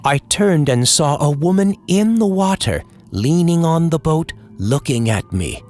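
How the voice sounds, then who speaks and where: Male horror narrator, old-time radio storytelling, lively pitch, unhurried pace, music underneath.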